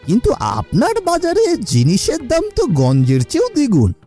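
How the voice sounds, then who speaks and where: A woman's voice: a little high, wildly swooping pitch, fairly quick, dark tone, soft background music. A woman voicing a plain-spoken villager in a moral-story cartoon, with an emphatic delivery. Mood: pleading. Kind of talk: cartoon dialogue.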